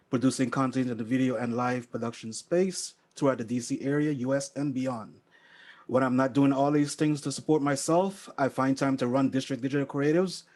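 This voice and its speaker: A man's voice: medium pitch, a little mellow. A man in his fifties from Guyana giving a concise, list-like introduction of himself on a video call. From Georgetown, Guyana. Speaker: panelist, video producer. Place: indoor studio, on a video call.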